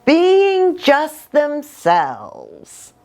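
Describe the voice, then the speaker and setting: A woman's voice: high voice, huge pitch swings, extremely slow, a little mellow. A woman hosting a children's storytime as a pastor, talking to young children with lots of questions and reading aloud with little asides. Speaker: children's ministry host and pastor.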